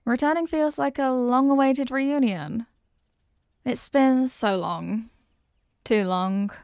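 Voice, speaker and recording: Woman acting a sweet, wistful childhood friend, higher-pitched, close-up. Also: slow pace, a little mellow, smooth, dry recording. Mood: warm, eager. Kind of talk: scripted ASMR roleplay spoken to the listener.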